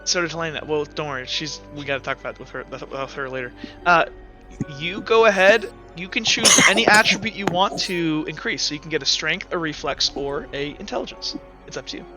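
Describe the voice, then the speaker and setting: A man narrating and refereeing a tabletop role-playing game among friends, in an informal, animated storytelling way. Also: a little high, a little fast, faint music behind, poor audio. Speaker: game master.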